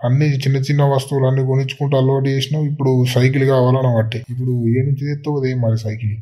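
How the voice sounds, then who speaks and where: A man's voice: medium pitch, level tone, very fast, dark tone, close to an everyday voice. A man voicing a grumbling, sarcastic village father in a comedy cartoon, with a Telangana accent. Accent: Telangana Telugu. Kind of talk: Telugu comedy cartoon dialogue.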